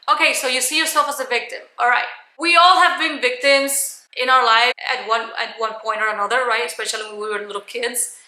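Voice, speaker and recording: A woman giving a motivational coaching talk to camera in a loud, emphatic, blunt manner. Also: fairly high pitch, echoey room, rough recording. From Cuban. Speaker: life coach, video host.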